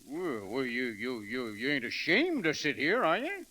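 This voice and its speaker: A man's voice: mid-range voice, wildly swooping pitch, normal speed, bright, forceful tone, heavily acted. An actor playing a boisterous, folksy American frontier man in slangy 1930s radio comedy style, on an old recording. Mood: folksy, insistent.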